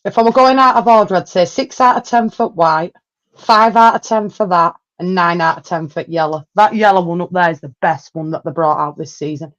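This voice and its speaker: A woman's voice: lowish voice, brisk. Blunt Yorkshire woman, dull lo-fi sound. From Yorkshire, England. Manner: blunt, chatty, local dialect. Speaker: host. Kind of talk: casual football chat.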